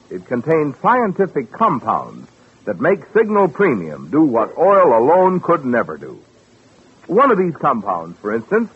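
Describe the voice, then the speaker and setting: Raspy male vintage radio announcer, tinny sound. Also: mid-range voice. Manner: emphatic, deliberate salesman delivery. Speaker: commercial announcer. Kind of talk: 1940s radio advertising pitch.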